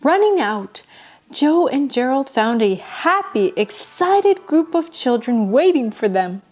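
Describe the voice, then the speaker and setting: A woman narrating a children's bedtime story in an expressive, deliberate storybook style, with music behind her. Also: high-pitched. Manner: animated, deliberate storybook delivery. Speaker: storyteller, children's show host. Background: background music.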